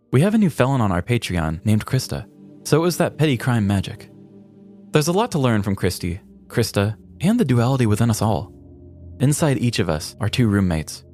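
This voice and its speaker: A man host narrating a scripted comedic crime story with a wry, deadpan delivery. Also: on the low side.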